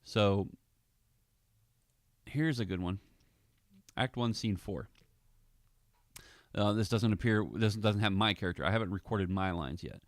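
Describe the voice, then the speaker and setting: Lowish-voiced, casual man vlogger. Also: a little slow, pauses often, dry recording, studio quality. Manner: amused, easygoing. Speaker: audio drama director and vlogger. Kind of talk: casual vlog commentary.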